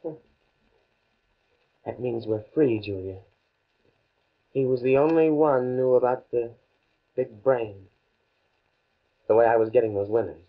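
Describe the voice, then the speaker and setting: Young male professor, 1950s radio drama, unhurried, husky, tinny phone-like old recording. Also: medium pitch. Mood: dawning relief. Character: young male scientist professor, shaken after a plane crash.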